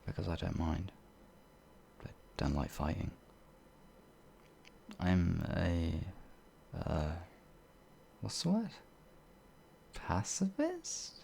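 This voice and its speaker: A man voicing a shy, gentle werewolf in an ASMR audio roleplay, hesitant and halting, with a breathy, gravelly voice that often drops toward a whisper. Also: sits low, wildly swooping pitch, slight background noise, heavily acted. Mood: meek, resigned. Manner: hesitant, timid, halting, with sighs and trailing phrases. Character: shy, gentle, bumbling pacifist male werewolf, older than he looks.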